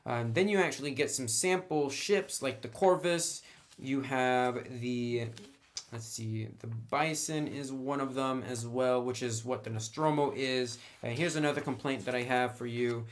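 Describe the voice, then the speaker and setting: Man, medium-pitched voice, casual reviewer, conversational, unscripted commentary. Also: measured pace. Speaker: game book reviewer.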